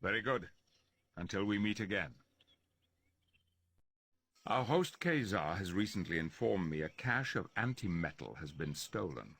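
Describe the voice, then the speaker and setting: A man playing a composed, authoritative professor, briefing his team in a measured, formal way in a video game. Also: low voice, very animated, gravelly, a bit bright, husky, exaggerated voice. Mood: informative. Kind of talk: video game cutscene acting.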